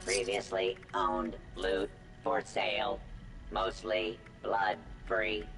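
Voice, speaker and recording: A man voicing a deadpan, faintly menacing robot vendor in a video game, hawking looted goods. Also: fairly low pitch, takes it slowly, creaky, music in the background, full character voice, low-quality recording. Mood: deadpan sales pitch. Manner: flat, deadpan sales patter. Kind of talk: video game character voice acting.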